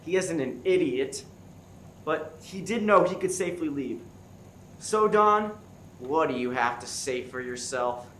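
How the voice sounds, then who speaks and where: A man acts an earnest detective agent in a farcical radio play, laying out accusations dramatically. The voice is on the high side with big swings in pitch, in a roomy, echoing space with noise behind. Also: expressive, a bit bright. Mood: explaining. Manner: deliberate, dramatic deducing. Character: one-eyed male FBI agent turned earnest, dramatic sleuth. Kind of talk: comic stage radio play acting. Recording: roomy, echoey stage with clear background noise.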